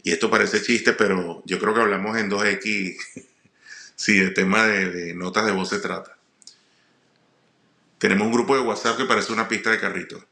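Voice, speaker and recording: A middle-aged man with a Venezuelan accent tells personal stories informally, often halting and repeating words. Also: on the low side, fairly even intonation, gravelly, sharp tone. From Puerto La Cruz, eastern Venezuela. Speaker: comedian, guest speaker. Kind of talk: personal storytelling monologue. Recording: roomy echo.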